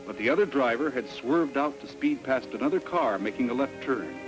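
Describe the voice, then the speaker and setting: A man's voice: medium pitch, lively intonation, brisk, low-quality recording. A man narrating a 1960s educational film from a script in a grave, moralizing way. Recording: vintage film soundtrack with music. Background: background music.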